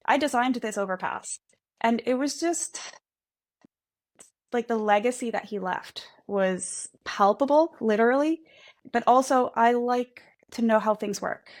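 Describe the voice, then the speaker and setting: A woman in her thirties from Central America, a native Spanish speaker, talking easily as a guest about her engineering career. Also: medium pitch. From Guatemala and El Salvador. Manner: easygoing, quick, self-deprecating. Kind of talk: conversational podcast interview.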